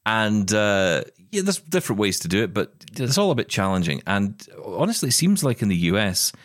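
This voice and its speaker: Male co-host, low and lively, playful banter, dry home recording. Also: brisk, no echo. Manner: chatty, jokey, acts out quoted exchanges. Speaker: podcast co-host. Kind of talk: casual chat. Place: spare room at home. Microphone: remote recording.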